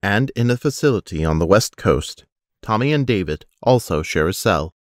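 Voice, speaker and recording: A man narrating an audio drama, reading scripted scene descriptions in a measured way. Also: fairly low pitch, lively intonation, no echo, clean studio sound, natural voice.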